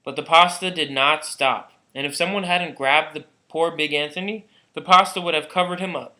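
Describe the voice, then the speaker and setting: A man's voice: a little high, fairly even intonation, normal speed, pushed and bright. A man reading a children's storybook aloud as a narrator. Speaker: volunteer storybook reader.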